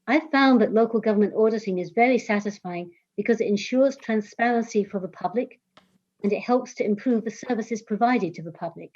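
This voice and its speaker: A woman with an English accent introduces herself in a measured, formal way. Her voice is mellow and soft-edged. It comes through a dull, lo-fi remote call line. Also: medium pitch. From Scotland, raised in England. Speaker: senior management auditor. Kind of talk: formal self-introduction at a public meeting. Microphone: remote call audio. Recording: dull lo-fi video call audio.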